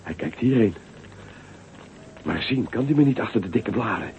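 A man's voice: on the low side, lively intonation, normal speed, a little hiss or hum. An actor playing a timid young man who is afraid of heights and stammers with fear in a radio play. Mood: worried. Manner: stammering, fearful. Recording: tinny radio recording with faint music.

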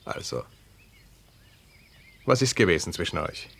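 Jealous husband, Austrian, ironic and probing, 1970s radio drama. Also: male voice, sits low, sing-song, a little slow, creaky, full character voice. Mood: probing, suspicious. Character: jealous husband, sardonic, smooth-talking, needling his wife.

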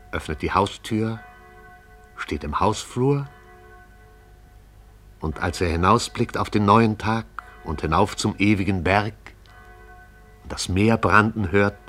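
A man narrates a radio play in a very deep voice. He tells the story briskly and vividly, painting each scene. Soft music plays faintly beneath him.

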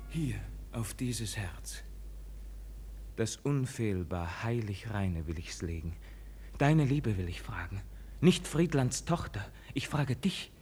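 A man's voice: high voice, a bit bright, heavily acted. An actor playing a passionate, anguished young nobleman officer in a 1950s German radio verse drama, declaiming fervently. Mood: tender, imploring. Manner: declamatory, fervent, drawn-out delivery. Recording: 1950s radio production with music.

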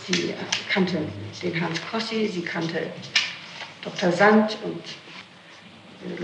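A woman answering questions in an interrogation, explaining herself in halting detail, on an old 1960s tape. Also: on the low side, gravelly, reverberant, background noise. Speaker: person being questioned in a criminal case.

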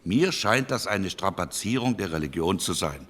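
An actor playing a measured male liberal statesman, reasoning deliberately in a parliamentary debate. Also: sits low, lively intonation, fairly bright tone. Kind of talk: formal parliamentary debate speech.

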